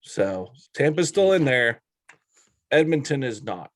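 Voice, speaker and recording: A man's voice: medium pitch, sing-song, measured pace, dry recording. A man co-hosting a sports talk show in a casual, bantering style.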